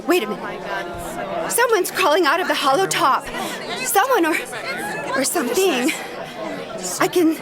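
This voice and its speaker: A woman playing an earnest, dramatic female radio news reporter in an audio drama, reporting live from the scene with urgency and awe. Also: very high-pitched. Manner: dramatic, urgent, stammering in excitement. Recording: music and crowd noise behind. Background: music, busy crowd.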